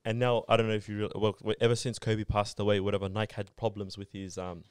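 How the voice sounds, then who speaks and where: Laid-back low-voiced man. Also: little pitch movement, fast talker, smooth, no echo. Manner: rambling, laid-back, full of fillers. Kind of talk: casual podcast conversation.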